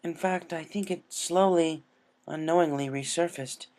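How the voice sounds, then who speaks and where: A narrator voicing a tender, regal young female alicorn princess in a gentle, formal, measured way for a story reading. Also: medium pitch, fairly even intonation, pitched above the performer's own voice, natural voice. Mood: calm, explanatory.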